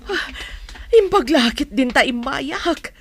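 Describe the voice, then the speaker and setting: A woman's voice: high voice, lively intonation, slow, a little hiss or hum, full character voice. A woman playing an anxious, protective middle-aged mother in an emotional radio drama, fretting over her child. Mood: anxious, tender. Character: anxious, protective mother of a sick grown daughter. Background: music.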